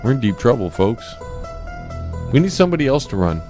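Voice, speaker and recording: Animated male talk radio host. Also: medium pitch, fairly quick, over music. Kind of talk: opinionated talk radio monologue and call-in.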